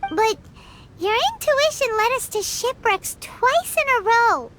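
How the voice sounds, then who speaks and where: A woman's voice: very high voice, very animated, slow, noisy background, heavily acted. A woman voicing a tiny, childlike fairy companion in a video game, cheeky and curious, with a perky, cutesy way of talking. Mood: matter-of-fact. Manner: perky, cutesy, speaks of herself by name. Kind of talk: video game character acting.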